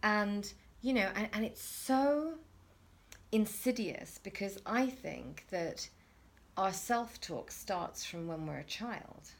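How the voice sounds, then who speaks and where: A woman's voice: medium pitch, lively intonation, takes it slowly. A middle-aged woman hosting a reflective conversation, talking hesitantly with many restarts and fillers. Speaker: interview host.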